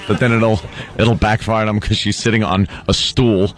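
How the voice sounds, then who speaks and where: A man's voice: on the low side, little pitch movement, normal speed. A man co-hosting a radio show in casual, sarcastic, wry comedic banter. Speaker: radio co-host. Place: radio studio.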